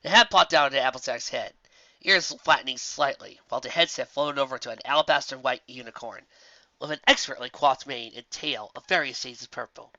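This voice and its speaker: An expressive narrator reading a scripted story aloud. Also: medium pitch, fairly quick, no echo, lo-fi sound. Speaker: fan fiction narrator.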